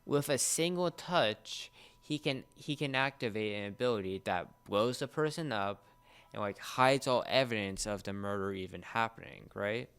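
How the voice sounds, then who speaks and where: A young man co-hosting a casual pop culture discussion, explaining things in a halting, searching way. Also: on the low side, fairly bright tone, smooth. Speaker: podcast co-host.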